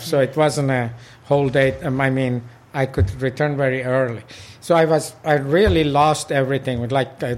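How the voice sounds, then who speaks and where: An older man from Iran, a local club president, recalling his past in an oral history interview with many fillers and restarts. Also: mid-range voice.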